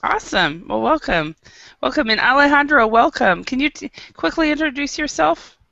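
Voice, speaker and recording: An American woman leading an online English class, upbeat and encouraging, with frequent quick affirmations. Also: sits high, lively intonation, creaky, sharp tone, no echo, lo-fi sound. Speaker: English teacher. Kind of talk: online group English lesson.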